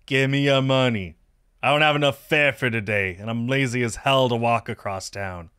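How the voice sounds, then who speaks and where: A man voicing a mocking, menacing teenage school bully in a visual novel, with a taunting, drawn-out and forceful delivery. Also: medium pitch, clean tone, lightly acted. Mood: threatening. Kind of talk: visual novel character voice-over.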